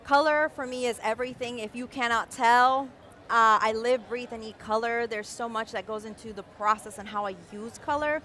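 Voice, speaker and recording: A young adult woman, born in Mexico City and raised in Caracas, explaining her design process with expressive enthusiasm in a live-stream interview. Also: a little high, moderate pace. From Mexico City, raised in Caracas, Venezuela. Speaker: guest designer.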